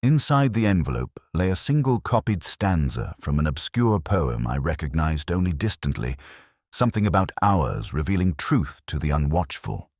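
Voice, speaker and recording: A man acts out a brooding police inspector with an extremely deep voice that rises and falls expressively. He narrates in deliberate, literary phrases, weary and watchful, as in a detective radio play. The sound is dry, with no room around it. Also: sing-song, dry recording, exaggerated voice. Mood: quietly suspicious. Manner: measured, contemplative, deliberate phrasing.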